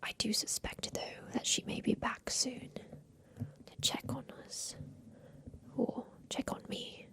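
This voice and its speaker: A woman playing an aging, wistful inventor confined in a haunted attic, whispering softly and confidingly in an ASMR roleplay about revenge. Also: natural voice. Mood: anxious, fond. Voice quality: soft breathy whisper. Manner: slow, wistful, confiding, halting.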